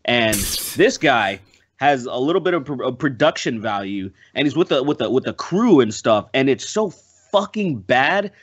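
A man's voice: mid-range voice, big pitch swings, normal speed, breathy edge. A man chatting casually as a podcast guest, emphatic and profane, often repeating himself. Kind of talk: casual panel chat.

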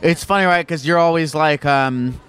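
A man's voice: fairly high pitch, normal speed, fairly bright tone, clean tone. A man interviewing people on a city street, chatting casually and reassuringly with lots of fillers.

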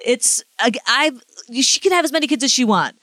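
A woman's voice: high voice, expressive, fairly quick, fairly bright tone, no echo. A woman co-hosting a podcast in casual comedic chat, rambling and often correcting herself. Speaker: podcast co-host.

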